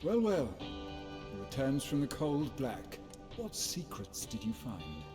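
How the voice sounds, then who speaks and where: An actor playing a knowing male underworld contact in a video game, with a sly, measured, theatrical delivery. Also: mid-range voice, huge pitch swings, music in the background. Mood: sly greeting. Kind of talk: video game cutscene acting. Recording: long echoing hall reverb.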